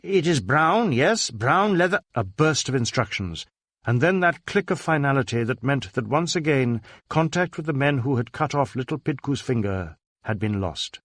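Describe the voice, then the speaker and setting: A man narrating a crime novel in a measured, dramatic way. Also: medium pitch, expressive, no echo, clean studio sound.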